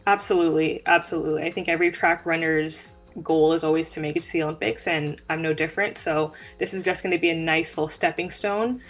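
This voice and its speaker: A young woman speaks as an athlete being interviewed. Her voice sits fairly low and her phrasing is earnest and informal. Faint music plays behind her. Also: fairly even intonation, quick pace, hardly any pauses. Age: young adult. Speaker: guest, track sprinter. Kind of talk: conversational interview answers.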